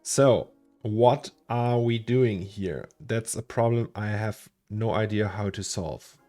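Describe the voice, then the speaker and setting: A man's voice: on the low side, slow pace, warm tone, soft background music. A man with a German accent streaming his 3D work, chatting casually, thinking aloud and chuckling often. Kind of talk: casual livestream chat while working.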